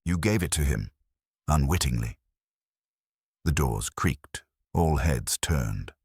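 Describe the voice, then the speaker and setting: Very deep-voiced male mystery narrator. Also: lively intonation, slow pace, darkish tone, slightly rough, dry recording, studio quality. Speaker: audiobook narrator. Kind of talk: scripted dramatic mystery narration.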